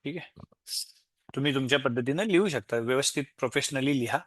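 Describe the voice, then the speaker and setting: A man with an Indian Marathi accent giving an informal, step-by-step software tutorial, explaining as he goes and mixing in English tech terms. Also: mid-range voice, fairly quick, no echo. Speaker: software demo presenter.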